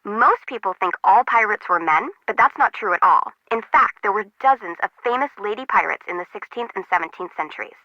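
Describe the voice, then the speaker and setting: A woman's voice: mid-range voice, animated, brisk, hardly any pauses, no room sound, dull audio, lightly acted. An actress playing a chatty, disorganized, slangy young female harbor worker in a video game, bubbly and wry. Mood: enthusiastic explaining.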